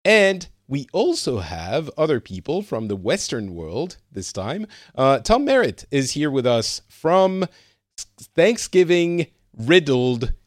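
A man's voice: on the high side, big pitch swings, takes it slowly, dry recording, studio quality. A man from France hosting a podcast in casual chat, rambling with many fillers and restarts. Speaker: podcast host.

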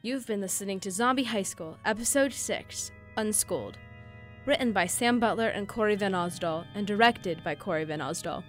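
Female credits announcer, mid-pitched scripted read over faint guitar. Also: normal speed. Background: guitar music.

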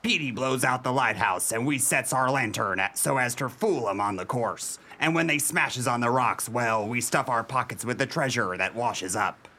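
An actor playing a gruff, peg-legged male pirate in a comic radio play, speaking broad pirate dialect with growled exclamations. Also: medium pitch, normal speed, hardly any pauses, sharp tone. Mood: boastful.

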